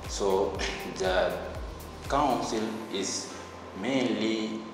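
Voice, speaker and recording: Young male student president, low voice, halting formal delivery, reverberant hall. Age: young adult. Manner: halting, pausing between short phrases. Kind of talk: formal introductory speech to camera. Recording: echoey hall, music behind.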